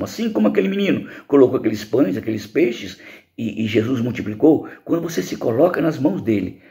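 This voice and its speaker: A middle-aged Brazilian man speaks as a pastor teaching young people. His voice is relaxed and warm with a gravelly edge, yet the pitch moves in a lively way. He explains things informally and emphatically, posing questions and answering them. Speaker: pastor teaching a lesson to teens. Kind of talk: informal Bible lesson.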